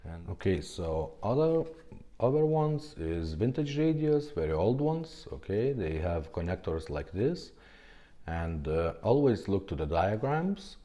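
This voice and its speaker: Deep-voiced non-native man giving a tutorial. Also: sing-song, dark tone. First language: not English. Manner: halting, repeatedly ending phrases with a checking "okay?". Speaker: video tutorial host. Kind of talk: informal hands-on tutorial.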